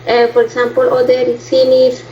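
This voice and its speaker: A woman student speaking in a class presentation, hesitant and halting, with many fillers and restarts in learner's English. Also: on the high side, completely flat delivery, dark tone. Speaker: language student. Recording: dull lo-fi class recording.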